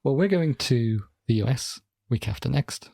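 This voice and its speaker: A man's voice: mid-range voice, expressive, moderate pace, vocal fry, warm tone, no room sound. A man from Wales with a Welsh accent, co-hosting a casual podcast and rambling with dry humour and frequent self-corrections. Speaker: podcast co-host. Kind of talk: casual chat.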